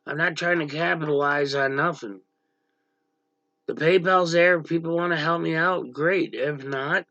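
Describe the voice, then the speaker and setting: A man hosting a casual livestream with a gravelly voice, talking bluntly to viewers and snapping at chatters who annoy him. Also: fairly high pitch, pushed and bright, no room sound, lo-fi sound. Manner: blunt, irritated, snaps at chatters. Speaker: livestream host. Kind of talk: casual livestream chat reading viewer comments. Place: dim room at home, power out.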